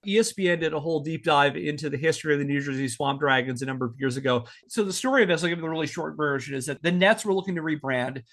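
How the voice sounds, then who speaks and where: Guy hosting a podcast, kinda high voice, explains stuff like a story, half scripted. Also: rapid-fire, hardly any pauses, fairly bright tone. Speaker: podcast host.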